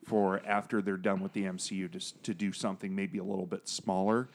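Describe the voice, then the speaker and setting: A man's voice: deep voice, flat delivery, a little fast. A man co-hosting a casual podcast, rambling through digressions with lots of fillers and jokey, profane asides. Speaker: podcast co-host. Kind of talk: casual podcast chat about comics.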